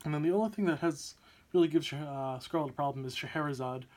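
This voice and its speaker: A young man hosting a card game channel, slightly hoarse from a sore throat, talking casually and upbeat with lots of fillers. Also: fairly high pitch, quick pace, a little mellow. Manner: chatty, upbeat, many "uh" fillers. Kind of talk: casual deck profile walkthrough.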